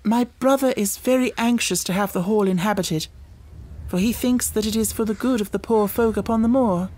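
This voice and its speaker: A man's voice: high voice, moderate pace, darkish tone, pitched above the performer's own voice, poor audio. A man playing secretive Victorian young lady, lifted voice, urgent, earnest, formal, storybook character. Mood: guarded, anxious. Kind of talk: audiobook character dialogue.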